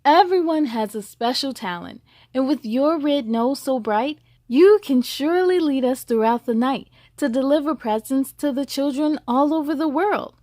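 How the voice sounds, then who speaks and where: A kindly old Father Christmas from a storybook performed by a woman reader, high in pitch. The delivery is encouraging, emphatic and declaimed, with a dry close sound. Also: normal speed, dark tone, dry recording, natural voice.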